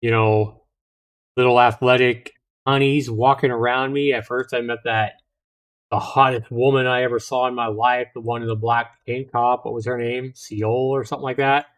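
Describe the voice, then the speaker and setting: A man's voice: mid-range voice, little pitch movement, normal speed, a bit bright. A middle-aged man hosting a livestream, reading a visual novel aloud with casual asides to viewers and occasional stumbles over the text. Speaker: livestream host.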